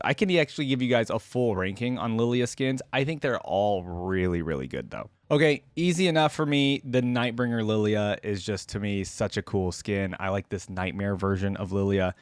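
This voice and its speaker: A man's voice: medium pitch, clear, resonant tone, dry recording. Casual man reacting, dry close sound. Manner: casual, enthusiastic. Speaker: video game fan reacting on his channel.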